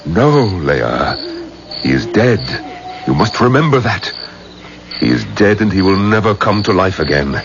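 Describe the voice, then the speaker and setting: Deep, solemn old religious teacher. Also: male voice, very animated, unhurried, background noise, exaggerated voice. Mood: firm, urgent. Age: older. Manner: grave, emphatic, theatrical. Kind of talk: 1970s radio drama acting. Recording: muffled old radio broadcast.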